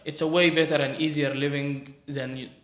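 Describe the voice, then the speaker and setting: A man's voice: on the high side, little pitch movement, moderate pace, fairly bright tone. An Egyptian man with an Egyptian Arabic accent, a native Arabic speaker hosting a podcast in casual, sociable conversation. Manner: chatty and sociable, laughs easily. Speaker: podcast host. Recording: echoey room.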